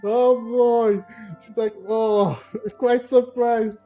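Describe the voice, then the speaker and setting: A man's voice: very high-pitched, level tone, very slow. A man giving casual, rambling commentary over a game playthrough, repeating himself as he goes. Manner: rambling, repetitive, chuckles between phrases. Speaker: gaming streamer. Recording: dull muffled mic, faint game music.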